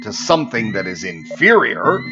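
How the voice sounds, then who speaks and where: A man's voice: lowish voice, sing-song, moderate pace. Haughty, bitter alien magistrate played by a man. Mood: disdainful. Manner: stiff, formal, disdainful. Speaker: planetary magistrate in audio drama. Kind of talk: dramatic sci-fi audio drama acting. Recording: dull audio with music behind.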